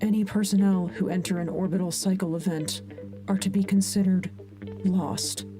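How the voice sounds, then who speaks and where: A woman acting as a sober researcher reads a formal file in a lowish female voice. It is an audio drama delivery, clinical and plain, with music clearly playing behind it. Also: fairly even intonation, normal speed, natural voice.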